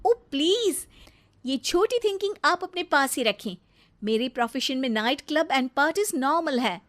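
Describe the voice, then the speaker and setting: A woman voicing a spoiled, haughty young model in an animated family drama, petulant and snappy, mixing in English words. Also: high voice, a little slow. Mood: dismissive, irritated. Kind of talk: melodramatic animated story voice acting.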